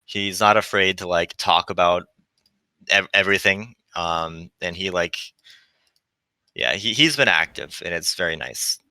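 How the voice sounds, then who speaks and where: Male game developer, 20s, smooth resonant voice, close dry sound, relaxed conversational interview guest. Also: low voice, a little slow, dry recording. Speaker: visual novel developer, guest. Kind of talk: casual chat about game development.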